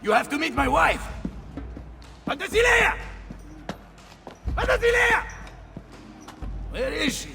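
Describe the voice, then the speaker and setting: An actor playing a loud, blustering mercenary commander in a video game, with an Italian accent and a boisterous, theatrical manner. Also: male voice, very high voice, sing-song, slow pace, vocal fry, pauses often, long reverb tail, faint music behind, exaggerated voice. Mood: boisterous, impatient. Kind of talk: video game cutscene acting.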